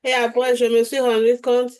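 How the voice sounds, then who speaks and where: A mother whose first language is not French, sharing her experience in an online workshop in a halting way, searching for her words. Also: a little high, monotone, a little mellow, clean tone. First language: a language other than French. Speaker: parent participant. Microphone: video call.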